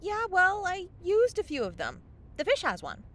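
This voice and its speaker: Casting: flighty young fairy, high animated voice, casual and evasive, fantasy comedy audio drama. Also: female voice, moderate pace, a little hiss or hum, full character voice. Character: flighty, sheepish young female fairy who loses magic gems.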